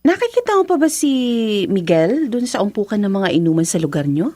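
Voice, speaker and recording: An actress playing an earnest, proud Filipino wife in a radio drama. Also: female voice, mid-range voice, sing-song, a little fast, dark tone, dry recording. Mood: friendly, at ease. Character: earnest wife, wounded and fiercely proud when crossed.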